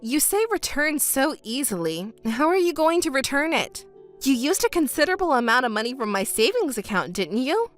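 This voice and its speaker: A woman's synthetic voice playing a scornful young woman confronting her cheating ex with sharp, cutting retorts in an audio drama. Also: high voice, sing-song, normal speed, soft background music.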